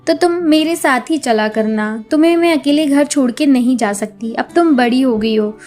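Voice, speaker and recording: Woman as a gentle high-voiced poor mother. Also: normal speed, close to an everyday voice. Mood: resigned, tender. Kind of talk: acted children's moral story. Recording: music behind the voice.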